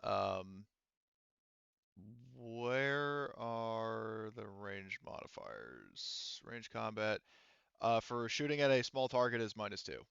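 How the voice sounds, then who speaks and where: A man's voice: lowish voice, sing-song, takes it slowly, creaky, a bit bright, clear, resonant tone, dry recording, dull audio. A man speaking casually as a game master, narrating the action and explaining rules in an informal, mildly profane way.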